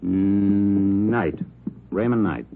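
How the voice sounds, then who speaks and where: An actor playing a tight-lipped, penny-pinching hotel manager, laconic and grudging, in a hissy, muffled 1940s radio drama. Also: male voice, fairly low pitch, very slow. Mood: guarded. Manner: laconic, grudging, drops subjects from sentences.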